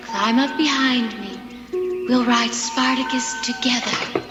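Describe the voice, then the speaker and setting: A woman playing a gentle, ethereal ghost luring her lover in an old-time radio drama. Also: fairly high pitch, slow pace. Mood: tender, coaxing. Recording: long cavernous reverb, music behind.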